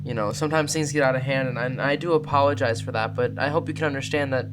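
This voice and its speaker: A man's voice: mid-range voice, fairly even intonation, fast, close to an everyday voice. A man playing a nervous, apologetic kid protecting a younger sibling, hesitant and rambling, in a tabletop game. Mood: pleading. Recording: guitar music under the voice.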